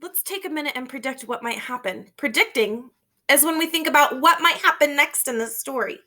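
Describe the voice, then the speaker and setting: A woman reading a children's storybook aloud as a teacher, expressive and emphatic, explaining things to young listeners. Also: high-pitched, a little fast.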